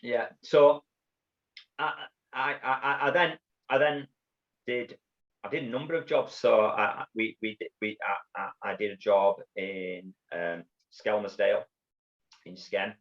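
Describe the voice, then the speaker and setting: A middle-aged man with a Yorkshire accent, telling his story as an interview guest in a halting way, with many restarts and repeated words. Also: lowish voice, steady tone. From Bradford, England. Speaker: guest, former undercover police officer. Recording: dull lo-fi audio.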